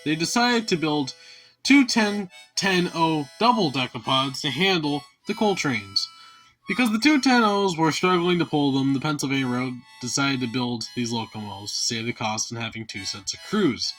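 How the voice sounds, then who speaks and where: A man's voice: on the high side, fairly bright tone. A man hosting an online video series with casual, folksy and emphatic commentary addressed straight to viewers, over guitar and harmonica music. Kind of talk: casual scripted-then-improvised YouTube commentary.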